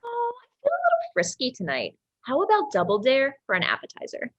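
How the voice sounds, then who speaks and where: A woman's voice: high voice, wildly swooping pitch, fairly quick, reverberant, highs cut off. A woman playing a seductive, sadistic twin sister in a horror play, teasing and mock-polite. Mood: playful menace. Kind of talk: dramatic livestream script reading.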